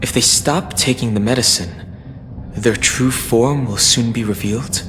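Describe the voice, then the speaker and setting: A man voicing a quiet, thoughtful companion in a game, speaking slowly. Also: mid-range voice, music in the background, lightly acted. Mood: curious. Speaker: game character.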